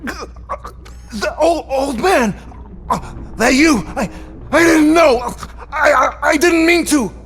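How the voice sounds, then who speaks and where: An actor playing a cowardly, fawning crook in a video game, stammering and pleading in panic. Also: male voice, very high-pitched, slow pace, a bit bright, soft background music, full character voice. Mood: panicked. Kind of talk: video game cutscene acting.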